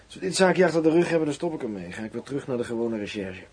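An actor playing a matter-of-fact undercover detective in a Dutch radio drama, talking casually and tersely to a partner. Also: male voice, mid-range voice, sing-song, rapid-fire, darkish tone. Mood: relaxed, jaded. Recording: noisy radio play recording.

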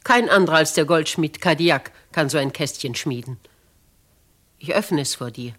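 An actress in a 1950s German radio play as a stern, shrewd older noblewoman who questions in a measured, imperious way. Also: sits low, expressive, a bit bright, a little hiss or hum. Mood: sharp, shrewd. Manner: measured, imperious, theatrical questioning with crisp emphasis. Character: stern, shrewd elderly noblewoman, probing like an interrogator. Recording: 1950s radio drama studio recording.

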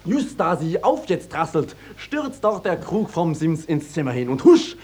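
A man's voice: a little high, big pitch swings, measured pace, darkish tone, breathy edge, exaggerated voice. An actor playing a hot-headed, plain-spoken young peasant who tells his story emphatically in a classical radio play. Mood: agitated recounting. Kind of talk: 1950s German classical radio play acting.

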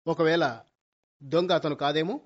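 Guy playing a cocky young wannabe detective son, high voice, big dramatic vows w english words, muffled old radio comedy sound. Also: unhurried. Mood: earnest. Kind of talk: Telugu comedy radio play acting. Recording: dull lo-fi radio play recording.

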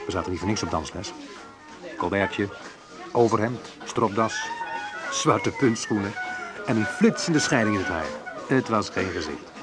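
A man's voice: fairly low pitch, expressive, unhurried, long pauses, over music. An actor playing a wry, reflective man who narrates memories of his youth in an intimate radio drama, confiding and a little hesitant. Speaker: narrator and main character of a radio play.

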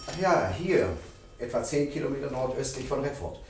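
An actor playing an affable, obliging military captain, easygoing and thinking aloud, in a German radio play. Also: male voice, mid-range voice, sing-song, moderate pace, a little mellow, a little hiss or hum, close to an everyday voice. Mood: helpful.

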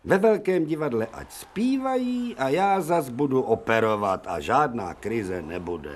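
An actor playing an authoritative surgeon professor, emphatic and indulgent, often repeating himself, in a radio drama. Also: male voice, medium pitch, sing-song, noisy background, close to an everyday voice. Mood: brisk, commanding.

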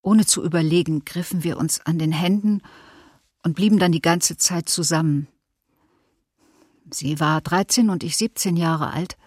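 An actress voicing a woman survivor recalling her youth in a documentary radio drama, measured and serious. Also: on the low side, a little mellow, dry recording, clean studio sound. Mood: serious, remembering.

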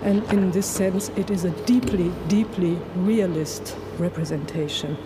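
A woman giving academic commentary on art in deliberate, halting, emphatic phrases. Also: on the low side. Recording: noisy live archive recording.

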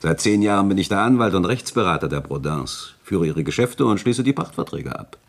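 Deep-voiced, courteous village notary. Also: male voice, lively intonation, fairly quick, creaky, exaggerated voice. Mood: matter-of-fact, self-assured. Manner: polite, formal, lawyerly wording. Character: courteous, talkative village notary, discreet yet gossipy. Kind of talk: German radio play acting.

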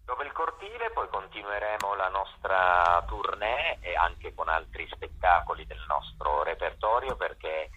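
A man answering interview questions over a muffled telephone line, phrasing things haltingly. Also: sits low, animated, sharp tone, breathy edge. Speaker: theatre actor and playwright being interviewed.

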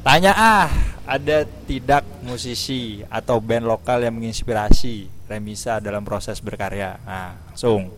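A man's voice: mid-range voice, measured pace, few pauses, background noise. Casual male radio host. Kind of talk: casual music interview.

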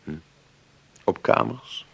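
An actor playing a wry, protective grown son in mourning in a radio drama, gruffly affectionate in manner. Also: male voice, takes it slowly, bright, forceful tone. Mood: gently insistent. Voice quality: gravelly, husky. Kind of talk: naturalistic radio drama dialogue.

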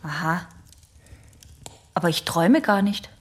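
An actress in a German radio drama playing a headstrong, resentful eighteen-year-old patient. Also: female voice, fairly low pitch, animated, a little slow, slight background noise. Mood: cool, challenging.

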